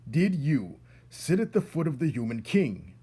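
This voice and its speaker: A man's voice: a little high, sing-song, a little slow, mellow, breathy edge, voice pushed up, heavily acted. A man voicing a stern, pompous old head mouse who declaims formally and indignantly in a storybook.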